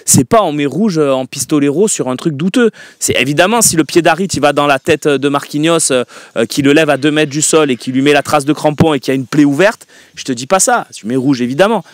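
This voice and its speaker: A man's voice: a little high, a little fast, no room sound. A man hosting a football call-in show, giving emphatic, opinionated takes with frequent fillers. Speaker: radio call-in show host. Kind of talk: casual football phone-in talk.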